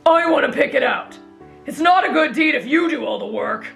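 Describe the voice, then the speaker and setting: A woman's voice: sits high, animated, normal speed, gravelly, a bit bright, faint music behind, exaggerated voice. A woman playing a brash, stubborn teenage boy raised in the wild, blunt and childlike, in an anime fan drama.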